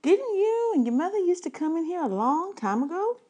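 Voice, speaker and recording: A woman voicing a smiling, kindly librarian in a storybook, chatting to a boy in a gushing, encouraging, drawn-out way. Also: extremely high pitch, big pitch swings, warm tone, voice pushed up. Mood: warm, delighted.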